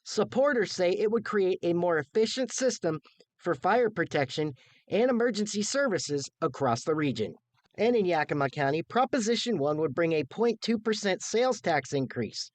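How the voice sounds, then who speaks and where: A man with a high, very animated voice delivers scripted TV news narration in an American accent. The recording is dry and close. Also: normal speed, no echo. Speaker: TV news reporter.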